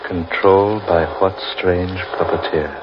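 A man's voice: low voice, fairly even intonation, background noise. An actor playing a solemn, brooding man lost in an eerie forest in a 1940s radio horror drama. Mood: ominous. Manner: solemn, ominous, deliberate. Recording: dull vintage radio recording.

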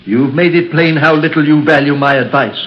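A man's voice: a little high, a little fast, dull audio, lightly acted. An actor playing a devout, fearful man who gives grave, pleading warnings in 1930s radio horror style. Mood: ominous, pleading. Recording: noisy 1930s radio recording.

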